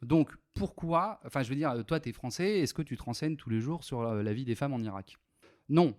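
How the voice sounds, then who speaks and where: A French-born man in his thirties, guest on an interview podcast, talking in a lively, rambling way with many fillers. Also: medium pitch, moderate pace. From France. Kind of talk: casual interview chat.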